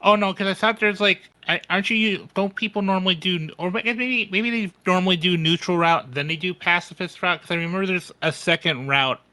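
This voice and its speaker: A man playing a tabletop game, talking casually and haltingly, repeating words as he thinks aloud. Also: high-pitched, sharp tone. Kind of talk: casual roleplay and table chat.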